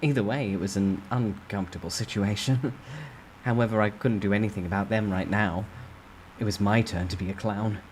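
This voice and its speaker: A man with a fairly low voice tells a story in the first person. He reads dramatically, as a storyteller, with faint ambient noise behind him. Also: steady tone, moderate pace, a little mellow, low-quality recording.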